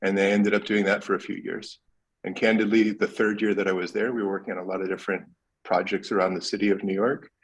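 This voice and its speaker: A man's voice: lowish voice, fast, husky, rough recording. A man with an American accent, a panel guest who tells his career story candidly in a conversational way. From United States. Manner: candid, relaxed.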